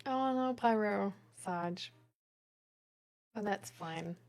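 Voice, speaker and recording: A woman's voice: mid-range voice, extremely slow, creaky, darkish tone. Woman chatting casually on a livestream. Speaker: live streamer. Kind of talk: casual livestream chat with viewers.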